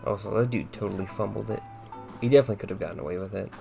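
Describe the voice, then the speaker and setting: Young guy streaming a game, croaky voice, halting offhand comments, music in the back. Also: fairly low pitch. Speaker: gaming streamer. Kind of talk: casual let's-play commentary.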